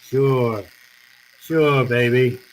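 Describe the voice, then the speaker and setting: A man's voice: mid-range voice, fairly even intonation, drawn-out words, a little hiss or hum, rough recording. A man playing a cynical, unyielding private detective in an old-time radio drama, with a terse, tough, accusing manner. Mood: bitter, resolute.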